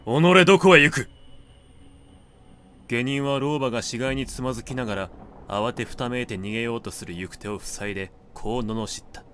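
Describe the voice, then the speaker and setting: A man narrating classic literary fiction from a script, in measured, deliberate dramatic phrasing. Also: medium pitch, a little hiss or hum.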